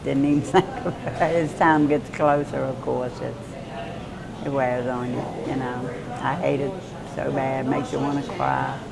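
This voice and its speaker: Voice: medium pitch, level tone, a little slow, gravelly, crowd noise, poor audio. A store employee answering casually in an interview.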